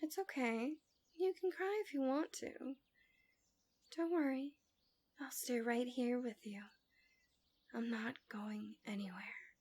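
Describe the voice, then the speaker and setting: Woman acting as a possessive villainess, asmr roleplay, highish soft voice, breathy and coaxing, drifting into whispers. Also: slow, long pauses. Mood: tender comforting. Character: manipulative villainess tenderly soothing her captive, seductive and possessive.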